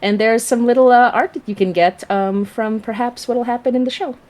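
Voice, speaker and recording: A woman's voice: a little high, fairly quick, darkish tone. A woman speaking as a guest creator in casual livestream chat, effusive and emphatic in the way she talks. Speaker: audio drama creator, guest.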